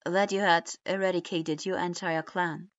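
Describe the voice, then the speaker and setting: A woman's voice: lowish voice, level tone, close to an everyday voice. A woman reading as a dry, weary male criminal boss. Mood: dry, stern. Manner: dry and measured. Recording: dull lo-fi recording.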